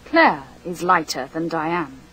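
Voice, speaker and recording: A woman reading a scripted language course drill, slowly and with careful enunciation. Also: deep voice, very animated, pushed and bright, slightly rough. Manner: slow, deliberate, carefully enunciated.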